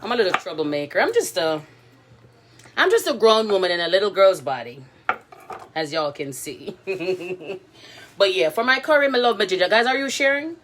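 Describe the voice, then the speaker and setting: A woman's voice: mid-range voice, expressive, moderate pace, a bit bright, slight background noise. A woman hosting a casual cooking livestream from her home kitchen, chatting playfully with her viewers. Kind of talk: casual live cooking chat.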